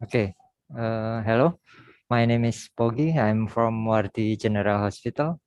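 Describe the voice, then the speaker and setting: A man's voice: lowish voice, unhurried, darkish tone, no echo. Indonesian-accented man, close dry sound. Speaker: presenter, hospital multimedia and web worker. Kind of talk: slide presentation on video call.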